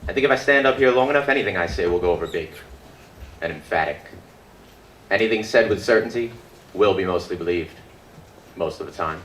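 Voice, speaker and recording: A man performing his own spoken word poetry at a live reading, in a rhythmic, dramatic delivery with deliberate pauses. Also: low voice, animated, fairly bright tone. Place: indoor book release reading before an audience. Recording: echoey live room with background noise.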